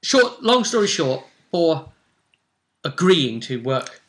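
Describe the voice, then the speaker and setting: A man hosting as game master, casually recapping a role-play session in an animated, jokey, theatrical way. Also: on the high side, slow.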